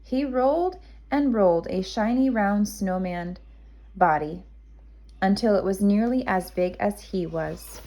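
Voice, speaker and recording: Slow-paced woman reading a children's story. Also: mid-range voice, darkish tone. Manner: measured, simple delivery for young children. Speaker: kindergarten teacher reading aloud. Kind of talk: expressive children's storybook narration.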